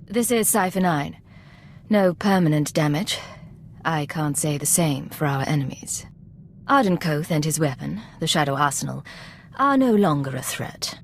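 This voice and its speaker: An actress playing a hardened, wary Imperial agent in a video game, controlled, direct and clipped. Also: female voice, deep voice, lively intonation, normal speed, a little hiss or hum. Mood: cool, serious. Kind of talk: dramatic game dialogue.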